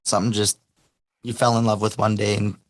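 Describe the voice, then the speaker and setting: A man hosting an interview, his voice raspy as it recovers after he lost it, upbeat with short affirmations between questions. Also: fairly low pitch, level tone, fairly quick. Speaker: host.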